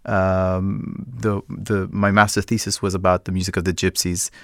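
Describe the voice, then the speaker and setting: A middle-aged man from Egypt, a guest in an interview, answering in a thoughtful, measured way with many fillers. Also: low-pitched, flat delivery, creaky, no room sound, studio quality. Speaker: guest, music project co-founder.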